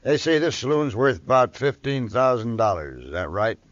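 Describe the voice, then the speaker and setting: An actor playing a brusque, domineering stranger with curt rustic speech in a 1950s radio western. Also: male voice, medium pitch, animated, normal speed, no room sound, natural voice. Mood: confident, pushy. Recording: dull, dry old radio recording.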